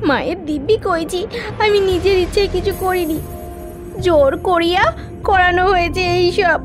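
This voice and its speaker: A woman's voice: extremely high pitch, moderate pace, over music. A woman voicing a frightened, pleading maidservant who repeats herself, in a horror cartoon. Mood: begging. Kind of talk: Bengali horror cartoon acting.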